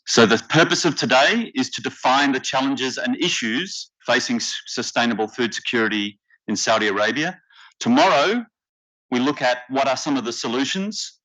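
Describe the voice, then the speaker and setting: A man with an Australian accent emceeing a conference from the stage, speaking in a measured, deliberate way with occasional fillers. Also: medium pitch, bright, forceful tone. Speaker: workshop facilitator and emcee. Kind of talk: formal conference welcome speech. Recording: slightly dull lo-fi conference audio.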